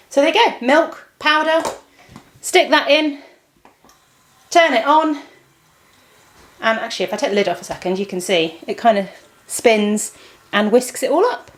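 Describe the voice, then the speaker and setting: A woman's voice: medium pitch, a little hiss or hum. A British woman chatting informally to viewers in a casual vlog, thinking aloud as she shows things. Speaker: vlogger.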